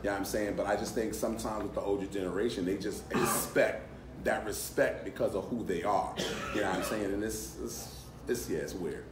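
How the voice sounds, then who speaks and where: A man with a deep voice talking casually in a panel discussion, repeating himself as he makes his point, recorded in a hotel conference room. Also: fairly quick. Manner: relaxed, repeats phrases, conversational. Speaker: panelist in audience. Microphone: handheld mic, recorded by a phone camera across the room. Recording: echoey room, from far off, noisy.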